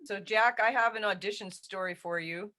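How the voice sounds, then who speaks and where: Bright, forceful woman storyteller. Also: mid-range voice, normal speed. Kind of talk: personal anecdote storytelling. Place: remote video call. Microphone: video call microphone.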